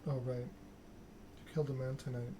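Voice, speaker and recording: A man reads narrative game text aloud as ASMR. His delivery is flat and deliberate, broken into short phrases. Clear background noise and clicking sit behind the voice. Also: medium pitch. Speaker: video game streamer reading a story game aloud.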